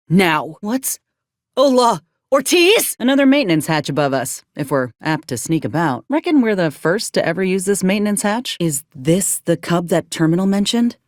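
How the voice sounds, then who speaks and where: A woman's voice: fairly low pitch, sing-song, normal speed, no room sound, clean studio sound. A woman playing an earnest, plain-spoken young frontier soldier in a video game, with a blunt, folksy, principled manner. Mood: wary, observant. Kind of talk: video game character voice acting.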